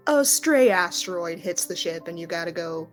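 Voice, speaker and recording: A woman running a tabletop roleplaying game, narrating casually and haltingly in a conversational way. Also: on the low side, lively intonation, soft background music. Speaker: game master.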